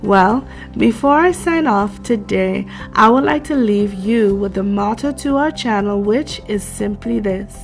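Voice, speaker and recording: Woman, DIY tutorial host, very slow delivery, congested, mid pitch, faint music behind. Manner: slow, measured and gracious, addresses viewers as darlings. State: congested with a cold. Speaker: DIY craft channel host. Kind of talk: tutorial narration. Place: home craft table.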